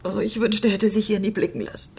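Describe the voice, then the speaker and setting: A woman's voice: medium pitch, fairly even intonation, fast talker, vocal fry, mellow, slight background noise, natural voice. An actress playing a bitter, blunt, tough countrywoman in a radio drama, speaking in a terse, resolute way. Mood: bitter, weary. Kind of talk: naturalistic radio drama dialogue.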